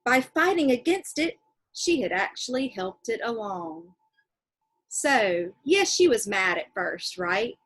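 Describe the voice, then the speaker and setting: A woman reading a children's story aloud like a teacher, expressively, pausing to explain and check in with her young listeners. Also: a little high, very animated, a bit bright, husky.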